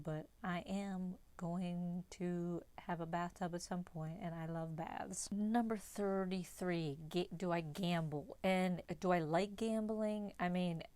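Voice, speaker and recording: A woman's voice: deep voice, darkish tone. A young woman from West Virginia with a Pittsburgh accent, candidly chatting through numbered questions in a casual tag video. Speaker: vlogger.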